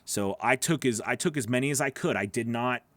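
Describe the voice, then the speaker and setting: A man in his thirties with a somewhat nasal voice, talking casually to viewers during a livestream Q&A. He often repeats words as he talks, and his voice sits at an ordinary middle pitch. The sound is close and dry, from a boom mic in a small home studio. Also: fairly quick, fairly bright tone, no room sound. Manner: chatty, repeats words, reads viewer questions aloud. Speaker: voice actor hosting a live Q&A. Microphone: large studio microphone on boom arm.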